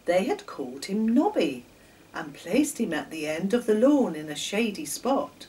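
A woman's voice: mid-range voice, very animated, measured pace, dark tone. A woman in her sixties with an English accent reads aloud to children with theatrical emphasis, recorded at a distance in a home kitchen. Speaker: children's storyteller. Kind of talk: expressive read-aloud storytelling for children. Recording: distant microphone in a room.

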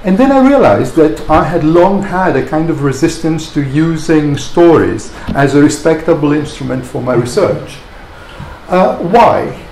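Male guest lecturer, sixties, from Greece; slightly high, gravelly voice, reflective delivery with pauses. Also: lively intonation, darkish tone. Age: 60s. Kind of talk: academic public lecture. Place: wood-panelled seminar room, indoors. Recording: echoey room, audible background noise.

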